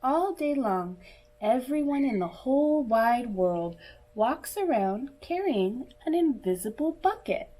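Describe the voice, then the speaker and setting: A woman reading a picture book aloud to young children in a deliberate, teacherly manner, as if speaking directly to her listeners. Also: high voice, sing-song, dark tone, poor audio. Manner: asks listeners questions and pauses for answers. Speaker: children's story reader.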